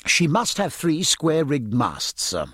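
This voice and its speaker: Eager, deferential young naval lad played by a male reader, pitched up, lively storybook delivery, husky edge. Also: unhurried, no echo, clean studio sound, exaggerated voice. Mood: earnest, kindly. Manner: polite, keen, explanatory. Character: earnest, eager young midshipman, deferential, proudly nautical. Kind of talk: audiobook character acting.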